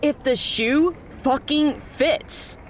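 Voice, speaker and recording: A woman's voice: high-pitched, very slow, slight background noise. A woman playing a fierce, distrustful, determined character in an audio drama, blunt and confrontational. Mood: angry, accusing.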